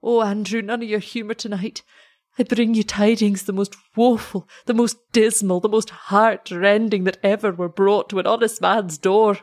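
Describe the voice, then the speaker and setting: A man's voice: high voice, little pitch movement, moderate pace. A woman reading aloud, voicing a young male shepherd who is grief-stricken and pleading in a mournful, heightened way. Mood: anguished.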